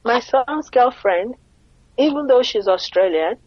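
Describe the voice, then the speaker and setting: A woman's voice: on the high side, expressive, a little slow, darkish tone, no echo. Middle-aged nigerian woman talking in an interview, emphatic, lo-fi dry sound. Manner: earnest, emphatic, asks rhetorical questions. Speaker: interview guest. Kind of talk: conversational interview.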